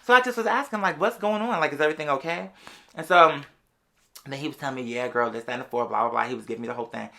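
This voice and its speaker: A podcast host telling a personal story in a casual, animated, sassy way, full of slang and drawn-out emphatic words. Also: mid-range voice, creaky. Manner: animated, sassy, slangy, addressing listeners as "girl". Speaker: podcast or live show host. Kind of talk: casual storytelling monologue to an audience.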